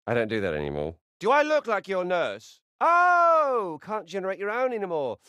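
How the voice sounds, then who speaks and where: A man voicing a rude, sarcastic, foul-mouthed squirrel spirit in a video game, swinging between muttered asides and brash yells. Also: sits high, huge pitch swings, moderate pace, smooth, dry recording, heavily acted. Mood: sarcastic, dismissive. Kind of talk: video game character acting.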